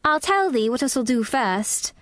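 A woman's voice: sits high, animated, measured pace, fairly bright tone, dry recording, studio quality, voice pushed up. A woman voicing a spirited young girl who puts on a Yorkshire accent. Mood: playful. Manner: proud, playful put-on dialect.

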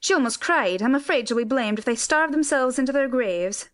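A woman's voice: high voice, expressive, fairly quick, voice pushed up. A woman voicing an indignant, fussy middle-aged housekeeper who complains at length.

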